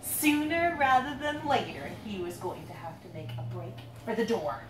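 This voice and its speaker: A woman reading her own picture book aloud to a young child, playfully dramatic, drawing out words and pausing to ask questions. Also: mid-range voice, expressive. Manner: dramatic build-ups with drawn-out words. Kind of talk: animated storytelling read aloud to a young child. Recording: roomy, noisy, distant handheld recording.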